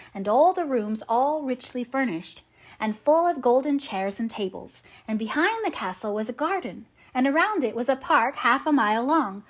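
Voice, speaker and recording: A woman narrating a storybook aloud in an expressive, measured manner. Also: fairly high pitch. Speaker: audiobook narrator.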